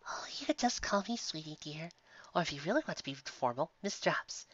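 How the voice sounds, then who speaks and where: A woman voicing a gentle, motherly mare who runs a sweets shop, with a sweet, doting manner. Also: sits low, lively intonation, a little fast, noisy background. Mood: kindly. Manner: sweet, doting, calls everyone dear. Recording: slightly muffled recording.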